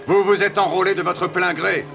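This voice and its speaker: An actor playing a resolute, persuasive explorer admiral in an animated historical series, speaking with declamatory authority. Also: male voice, high-pitched, normal speed, creaky, over music.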